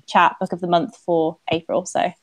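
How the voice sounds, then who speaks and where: A woman's voice: lowish voice, flat delivery, normal speed, no echo. A woman chatting casually as she introduces herself as a contestant on a livestream. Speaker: game show contestant, book vlogger.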